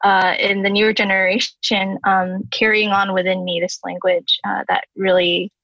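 A soft-spoken woman in her twenties talks as a podcast guest in an even, flat tone. The sound is dull and lo-fi, with a dry, close feel. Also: mid-range voice, moderate pace, gravelly, no echo. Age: 20s. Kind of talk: reciting her own poem, then casual interview talk.

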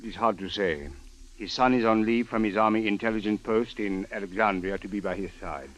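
A man's voice: on the low side, steady tone, brisk, lightly acted. A man playing a formal, exasperated Egyptian police captain in a 1940s radio drama, using stiff, precise phrasing. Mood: grave, measured. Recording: dull old radio broadcast.

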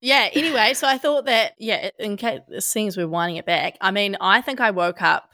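A young adult woman from Australia co-hosting a podcast, talking casually with a husky voice from a head cold and many fillers. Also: normal speed, pushed and bright, no echo. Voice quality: husky, deep. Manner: chatty, laughs a lot, many fillers like "hey". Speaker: podcast co-host. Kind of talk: casual chat.

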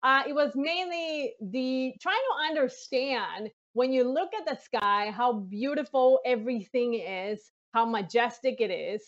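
High-voiced woman, animated guest. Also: a little slow, hardly any pauses, lo-fi sound. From Colombia. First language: Spanish. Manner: enthusiastic, animated storytelling with laughs. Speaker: NASA mission lead, guest. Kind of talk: interview.

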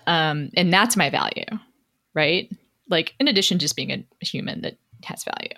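A woman's voice: deep voice, animated, moderate pace, gravelly, sharp tone. A middle-aged woman telling a personal story conversationally as an interview guest, reflective and self-deprecating, often checking in with "right?".

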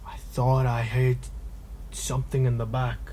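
A man's voice: medium pitch, little pitch movement, unhurried, dark tone, slight background noise, natural voice. A man playing a nervous, fussy getaway companion in an audio comedy drama, jumpy and defensive. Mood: fussy, uneasy.

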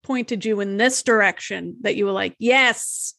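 A woman's voice: mid-range voice, a little slow, gravelly, sharp tone, no echo, clean studio sound. A woman from the Boston suburbs with a strong Boston accent, co-hosting a podcast in a playful, chatty way. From Boston suburbs, USA. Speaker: podcast co-host. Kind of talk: casual interview chat.

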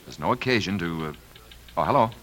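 An actor playing a cautious, by-the-book passport official, formal and polite, in 1950s radio drama style. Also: male voice, sits low, animated, a little fast, a bit bright. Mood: businesslike. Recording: vintage 1950s radio broadcast.

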